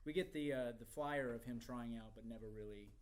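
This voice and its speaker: A man's voice: medium pitch, a little fast, a little hiss or hum. A man giving casual, thinking-aloud critique in a group discussion, hesitant and speaking in broken phrases. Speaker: feedback giver at a screenplay table read.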